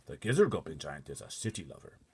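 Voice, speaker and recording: A man voicing a big, gentle, earnest storybook giant who speaks emphatically in muddled grammar and made-up words. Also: extremely low pitch, very animated, fast, husky, heavily acted. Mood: eager, explaining. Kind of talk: storybook character voice.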